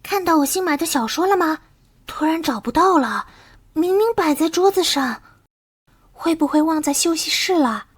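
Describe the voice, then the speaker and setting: A woman playing a fretting, puzzled young woman in an animated drama. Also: sits high, moderate pace.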